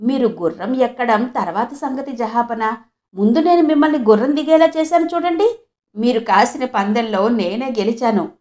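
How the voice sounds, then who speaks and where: Woman voicing a witty, high-voiced royal minister. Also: normal speed, a little mellow, natural voice. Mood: confident. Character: witty, deferential male royal minister, quietly confident.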